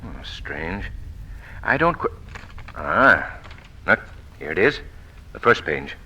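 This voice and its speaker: An actor playing a suave, inquisitive gentleman detective in a polished 1940s radio drama manner. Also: low voice, sing-song, slow, breathy edge, exaggerated voice. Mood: curious. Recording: noisy old radio recording.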